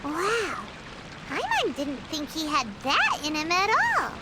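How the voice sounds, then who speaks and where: A woman voicing a small, chirpy, blunt, childlike fairy companion in a video game, with a squeaky voice. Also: wildly swooping pitch, slow pace, heavy background noise, heavily acted. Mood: curious, surprised. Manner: refers to self in third person. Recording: loud music behind.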